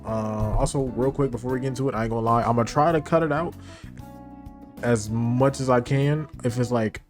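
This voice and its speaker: A man's voice: mid-range voice, fairly even intonation, fairly quick. An American man streaming a casual, slangy reaction to a game story, with a slightly stuffy nose. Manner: slangy, informal, hyped asides. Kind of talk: casual livestream reaction commentary. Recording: game music behind the voice.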